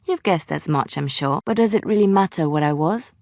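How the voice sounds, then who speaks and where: Game role: young female adventurer of faith, gentle and playful, slightly low register, very dry recording. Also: lively intonation, brisk, vocal fry, dry recording, full character voice. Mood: reflective. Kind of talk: video game voice acting, intimate dialogue.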